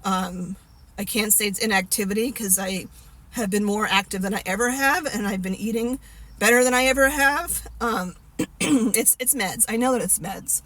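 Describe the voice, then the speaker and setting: A woman's voice: medium pitch, normal speed, fairly bright tone, rough recording. A woman in her fifties talking casually to the camera, candid and rambling with many fillers and restarts. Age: 50s. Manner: candid, laughs at herself. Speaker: vlogger.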